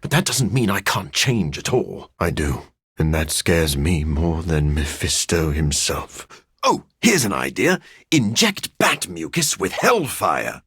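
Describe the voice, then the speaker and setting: Deep breathy vampire scientist for a video game, brooding n formal, deadpan humor, pitch swings around a lot. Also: male voice, slow, no room sound, clean studio sound, heavily acted. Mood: somber, reflective. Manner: formal, articulate, dryly deadpan. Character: brooding, erudite living vampire scientist with dry wit. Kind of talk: video game character acting.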